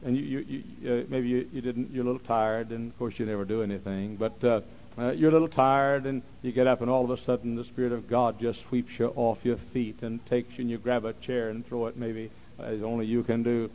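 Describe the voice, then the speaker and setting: A man preaching a sermon, repeating phrases and swinging from quiet, slow talk to loud shouting. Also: medium pitch, fairly even intonation, poor audio.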